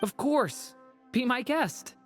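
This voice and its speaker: A woman voicing a gentle, earnest young dragon boy in a video game, sincere and friendly. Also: fairly high pitch, expressive, takes it slowly, faint music behind. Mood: welcoming.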